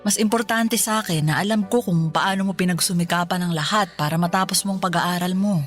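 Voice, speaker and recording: An actress playing a loving, selfless middle-aged mother in a Filipino radio drama, reassuring and unhurried. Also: on the low side, natural voice. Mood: tender, grateful. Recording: music bed under the voice.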